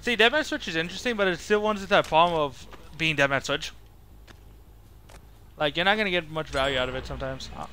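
A man's voice: a little high, fast talker, long pauses, background noise. A man giving casual live gameplay commentary as a streamer, rambling and thinking aloud in a self-critical way. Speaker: game streamer.

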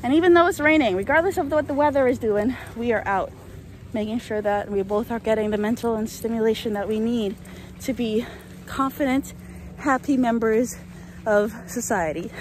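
A young woman with a slightly high voice chats and rants while walking her dog across an open field. She holds her phone at arm's length, and the outdoor recording carries a faint hiss of rain and open air. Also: moderate pace. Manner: chatty, ranting, laughs often. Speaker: dog trainer vlogger. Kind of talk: walk-and-talk vlog. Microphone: phone held at arm's length.

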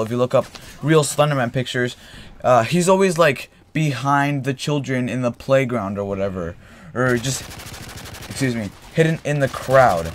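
A man's voice: medium pitch, slight background noise. A young man with a cold, casually telling a story while rambling with fillers and correcting himself. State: getting a cold, clears throat. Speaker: gaming channel host. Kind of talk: casual storytelling over gameplay. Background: faint game gunfire.

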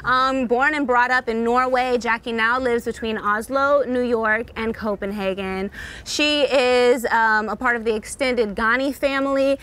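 A woman's voice: fairly high pitch, fairly bright tone. A woman in her thirties hosting an upbeat talk show outdoors on a rooftop with a handheld mic, bubbly and playful, laughing often. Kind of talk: upbeat hosted chat.

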